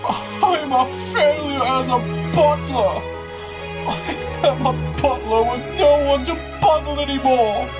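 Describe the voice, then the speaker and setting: Someone voicing a high, theatrical butler puppet. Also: measured pace, over music. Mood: despairing. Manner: theatrical, emotional, servile. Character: melodramatic, dutiful male butler puppet. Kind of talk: comedic puppet skit.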